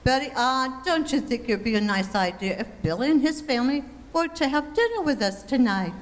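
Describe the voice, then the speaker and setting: A woman's voice: high-pitched, expressive, fairly quick. Woman playing a patient housewife in a reverberant hall. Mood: patient, coaxing. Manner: polite, coaxing. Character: patient, gently persuasive 1940s housewife and mother. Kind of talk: amateur radio-play acting of a 1940s comedy. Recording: reverberant hall, faint background noise.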